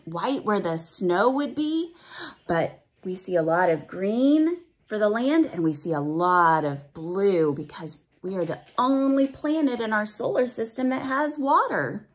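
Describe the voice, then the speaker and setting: A woman's voice: mid-range voice, expressive, unhurried. A woman reading a picture book aloud to young children, stretching out the rhymes expressively and adding simple, teacherly explanations and questions for the listener.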